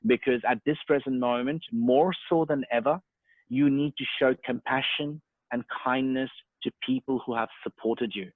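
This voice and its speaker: A man's voice: medium pitch, fairly even intonation, moderate pace, no room sound. A man in his thirties with an Australian accent, raised there after an Indian birth, talking at length with lots of "uh"s and restarts. His voice comes through a tinny, phone-like video call line. The sound is dry, with no room around it. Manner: talkative, hesitant with many fillers and restarts. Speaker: interview guest, sales and marketing professional. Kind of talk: casual online interview.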